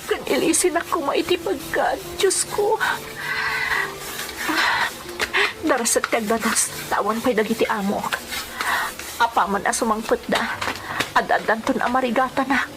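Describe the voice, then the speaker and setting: A woman's voice: on the high side, expressive, normal speed, lightly acted. A woman playing a poor, long-suffering wife and domestic helper in an emotional radio drama, speaking plaintively with an Ilocano accent. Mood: anguished despair. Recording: music playing behind the voice.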